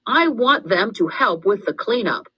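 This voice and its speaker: A woman's voice: medium pitch, animated, a little slow, slightly rough, highs cut off, pitched above the performer's own voice. A woman voicing an earnest, determined young girl giving a campaign speech in a storybook read-aloud. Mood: earnest, pleading. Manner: declamatory, determined, pleading.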